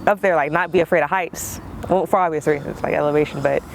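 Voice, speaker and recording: A young man, born in Hawaii and raised in Georgia, talks as a guest in a casual interview chat, relaxed and slangy and laughing easily. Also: a little high, quick pace, gravelly, slight background noise.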